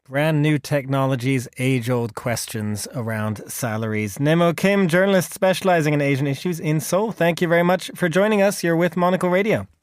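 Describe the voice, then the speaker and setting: A man hosting a radio news program, moving smoothly from one item to the next. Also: on the high side, normal speed, hardly any pauses, dry recording, clean studio sound. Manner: polished, smooth segues. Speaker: radio host.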